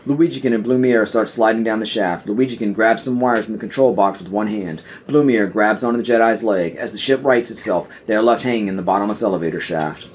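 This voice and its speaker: A man's voice: lowish voice, a little fast, few pauses, slight background noise. A man narrating an audio play in a scripted, screenplay-reading style.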